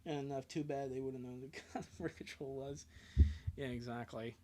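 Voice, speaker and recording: A man's voice: medium pitch, steady tone, a little slow, noisy background. A man doing a casual live comic reading with joking asides, rambling and swearing and breaking off mid-sentence.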